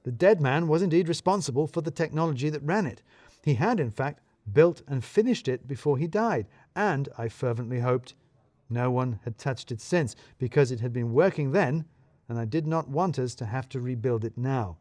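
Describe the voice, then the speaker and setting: A man narrating a scripted first-person story in a wry, deadpan manner. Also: mid-range voice, moderate pace, no room sound.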